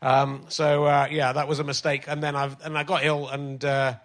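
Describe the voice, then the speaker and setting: A male comedian hosting a live podcast on a theatre stage, chatty and self-mocking, his voice slightly croaky from illness. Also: on the high side, completely flat delivery, normal speed. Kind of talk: live stand-up banter on stage.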